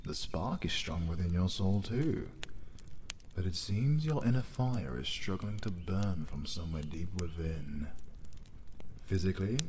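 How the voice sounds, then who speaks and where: Audio roleplay: man as gentle fatherly British deity, deep and leisurely, theatrical, formal, comforting; faint noise behind. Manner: formal, soothing, theatrical, musing. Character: ancient gentle male god of recovery, kindly, fatherly. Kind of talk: scripted audio roleplay acting.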